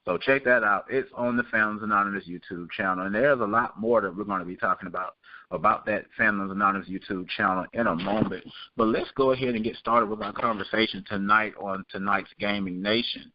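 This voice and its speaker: A man's voice: fairly low pitch, fairly even intonation, brisk, no room sound, dull audio. A man hosting a casual panel show, chatty and familiar with his guests. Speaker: show host.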